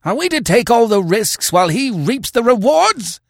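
A resentful, cowardly warrior with a high, shrill voice that howls and screeches. His voice is thin and strained, far above the narrator's own. The sound is close and dry. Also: very animated, moderate pace, no echo, full character voice. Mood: howling. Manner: howling, screeching. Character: snarling, cowardly hill warrior, adult man.

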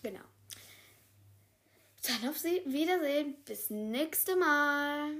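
A woman's voice: high voice, lively intonation, slow, a bit bright, exaggerated voice. A woman playing a chatty office worker who shows a new secretary around, rambling and correcting herself as she goes. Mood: friendly. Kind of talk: improvised solo role-play.

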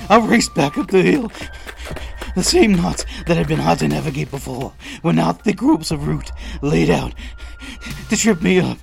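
An actor playing a desperate, protective father in a post-apocalyptic audio drama, narrating tensely and deliberately in the first person. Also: fairly high pitch, gravelly.

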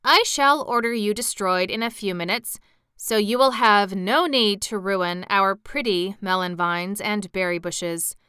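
A woman's voice: medium pitch, measured pace, bright, forceful tone, smooth, dry recording, studio quality. A woman voicing a cold, imperious male prince of a plant people in a storybook reading, speaking formally and haughtily.

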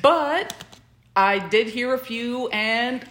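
A woman's voice: a little high, takes it slowly, a bit bright. A woman co-hosting a podcast, chatting casually and reacting to her partner, recorded with a roomy echo. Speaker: podcast co-host. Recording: roomy, echoing sound.

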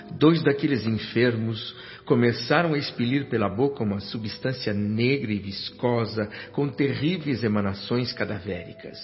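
A man's voice: mid-range voice, lightly acted. An actor with a Brazilian accent playing an earnest, humbled doctor who narrates his experiences formally and reflectively in a radio drama. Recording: dull lo-fi radio drama with music bed.

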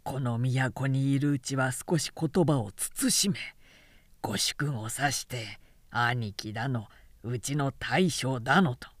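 A woman voicing a stern, loyal male general who speaks in a measured, admonishing way, in an audiobook novel. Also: rumbling low, no echo, voice pushed down, exaggerated voice. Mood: stern reproof. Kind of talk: literary audiobook dialogue.

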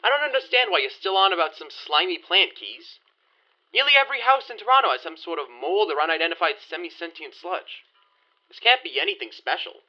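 Guarded 1920s underworld fixer, slangy period drama delivery, higher male register, dulled lo-fi recording. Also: sing-song, fairly quick. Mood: skeptical, warning. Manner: slangy old-time gangster talk. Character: 1920s bootlegging-connected man, shrewd, guarded, wryly skeptical. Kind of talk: period audio drama acting.

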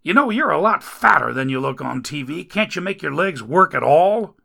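A man voicing a griping, foul-mouthed male rescuer who grumbles loudly in folksy slang. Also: a little high, very animated, normal speed, creaky, pitched above the performer's own voice, full character voice.

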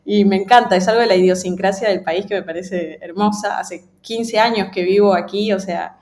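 A woman's voice: mid-range voice, fairly even intonation, moderate pace, darkish tone. Woman, slang, candid interview guest, mid-range voice, roomy echo. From Ecuador. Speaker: interview guest, design professional. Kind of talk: casual conversational interview. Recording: roomy, echoing sound.

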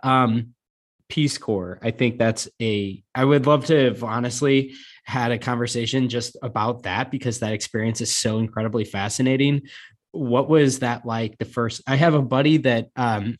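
A man hosting an interview podcast, with a mid-range voice of ordinary pitch. He asks long, rambling questions and responds with open enthusiasm. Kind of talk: conversational interview.

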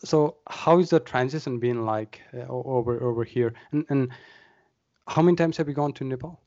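A man's voice: medium pitch, moderate pace, a little mellow, dry recording. A man hosting a casual podcast interview, leading the questions with lots of "uh" and "um". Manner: friendly, steering questions with fillers. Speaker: podcast host. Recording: dry, dull lo-fi sound.

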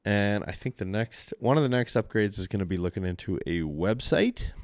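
A man's voice: fairly low pitch, expressive, moderate pace, no room sound, studio quality. A man with a Canadian accent hosting his own solo podcast, rambling and self-deprecating in casual chat, with frequent swearing. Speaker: podcast host. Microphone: studio mic with mixer.

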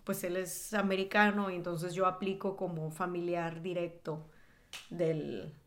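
A woman's voice: lowish voice, level tone, unhurried, a little hiss or hum. A woman from northern Mexico with a northern Mexican accent, co-hosting a podcast in a plain, matter-of-fact way. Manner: matter-of-fact, corrects firmly. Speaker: podcast co-host. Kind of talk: casual chat.

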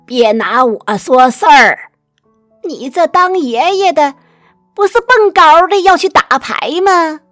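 A woman's voice: sits high, animated, slow, fairly bright tone, no echo, heavily acted. A woman playing a feisty, teasing old grandmother in a radio drama, blunt and folksy, with a Northeastern Chinese accent. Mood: scolding, teasing. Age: older. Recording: music under the voice.

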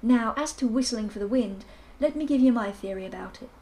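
A woman's voice: fairly high pitch, a little fast, darkish tone, close to an everyday voice. A woman voicing a prim, fussy male professor who is a firm skeptic. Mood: lecturing. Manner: precise, prim, slightly pompous.

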